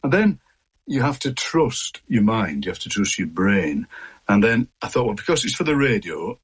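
A man's voice: on the low side, very animated, moderate pace, slightly rough. An English man, a poet, chatting as a guest in a radio studio interview. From England.

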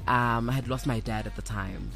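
A young South African woman with a deep voice, talking candidly and playfully as an interview guest, slipping into Zulu at times. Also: moderate pace, fairly bright tone, soft background music. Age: young adult. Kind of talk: casual radio interview, storytelling.

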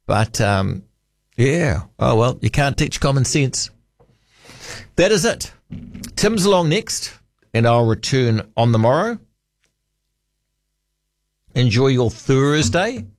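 A man's voice: mid-range voice, no echo. A man with a New Zealand accent hosting a late-night talk radio show, chatty and wry, musing aloud. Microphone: studio microphone.

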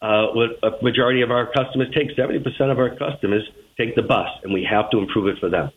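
A man's voice: mid-range voice, quick pace, slight background noise. A man with a Boston accent answering questions as a transit official in a radio interview, heard over a narrow, tinny phone line. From Boston, United States. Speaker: transit agency general manager, interview guest.

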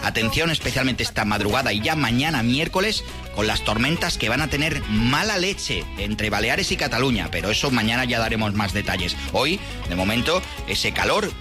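Spanish-accented male weatherman, relaxed banter on air, clear music bed behind. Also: mid-range voice, normal speed. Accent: Spanish (Spain). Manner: chatty, playful diminutives. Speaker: meteorologist. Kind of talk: casual weather report on radio.

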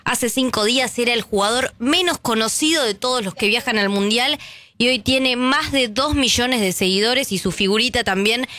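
A woman with an Argentine accent co-hosting a radio talk show, presenting news items in a brisk, chatty way. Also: on the high side, pushed and bright, no echo. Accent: Argentine Spanish. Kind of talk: casual news chat.

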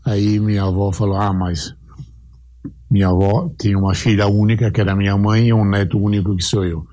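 A man's voice: on the low side, level tone, mellow. Older Italian-born man telling stories. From Italy. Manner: unhurried, anecdotal, quotes relatives. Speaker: interviewee. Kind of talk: archived interview storytelling.

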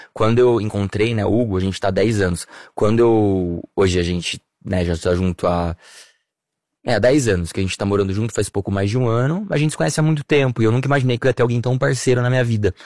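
Fast-talking Brazilian man reminiscing. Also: fairly low pitch, no room sound, studio quality. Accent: Brazilian Portuguese. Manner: warm, nostalgic storytelling with many fillers. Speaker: interview guest. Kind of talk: relaxed personal conversation.

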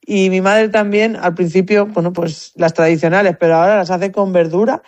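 A woman's voice: lowish voice, fairly even intonation, normal speed, a little mellow, no room sound, highs cut off. A woman with a Spanish accent from Spain, talking casually and anecdotally as an interview guest, often repeating words as she thinks. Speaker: competitive shooter, interview guest. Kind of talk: casual conversational interview answers.

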